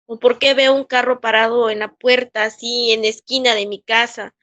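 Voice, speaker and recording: A woman's voice: fairly high pitch, flat delivery, fairly bright tone, highs cut off. A Mexican woman recounting a painful workplace experience in an interview, acting out what others said and often restarting her sentences. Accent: Mexican Spanish. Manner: acts out quoted voices, halting restarts. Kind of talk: emotional personal testimony.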